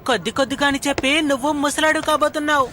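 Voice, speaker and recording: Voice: high-pitched, steady tone, very fast, natural voice. An adult performer playing a cheeky young kid who teases the others in a dubbed cartoon. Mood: teasing. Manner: teasing. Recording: loud music under the voice.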